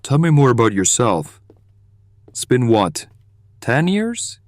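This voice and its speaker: An actor playing a calm, caring old friend in an audio drama, conversational and reassuring. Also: male voice, mid-range voice, slow pace, no room sound.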